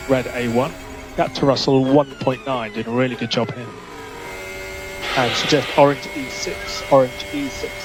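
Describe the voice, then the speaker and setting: A man speaks over a noisy motor racing radio link as a race engineer. His delivery is clipped and measured, with short technical instructions that he often repeats. Vehicle and mechanical noise sits behind the voice. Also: medium pitch. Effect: team radio.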